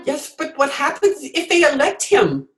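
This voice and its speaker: A woman's voice: high-pitched, sing-song, normal speed, over music. A woman playing an exasperated, skeptical political accomplice in an old-time radio drama. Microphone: video call.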